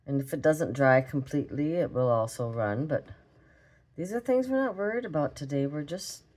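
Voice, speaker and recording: A hoarse, raspy woman with a Minnesotan accent, chatting casually as she draws in an art tutorial vlog. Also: deep voice, lively intonation, normal speed, darkish tone. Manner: chatty, rambling, talks while drawing. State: hoarse from a cold. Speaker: art vlogger. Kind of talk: relaxed drawing tutorial chat.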